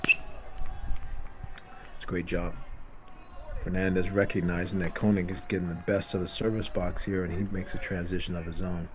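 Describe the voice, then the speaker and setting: A man calling a college tennis match live, calm in his analysis and lively when a big shot is played. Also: sits low, normal speed, long pauses. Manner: excited bursts on big shots, calm analysis between points. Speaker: tennis commentator. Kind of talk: live sports commentary. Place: outdoor tennis venue.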